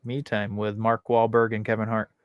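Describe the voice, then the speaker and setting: A man's voice: lowish voice, monotone, moderate pace, clear, resonant tone, no room sound, highs cut off. A man co-hosting a casual podcast chat, rambling and correcting himself as he talks. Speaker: podcast co-host.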